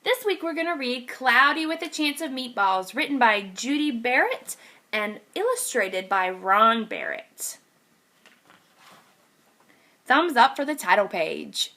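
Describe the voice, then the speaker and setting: Teacher, female, bright higher voice, very animated storybook read-aloud for little kids. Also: a little slow. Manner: lively, expressive reading to young children. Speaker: kindergarten teacher.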